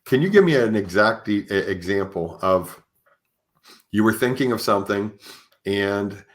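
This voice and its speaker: Male podcast host, somewhat low voice, halting conversational storyteller. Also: expressive. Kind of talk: conversational interview with personal anecdotes.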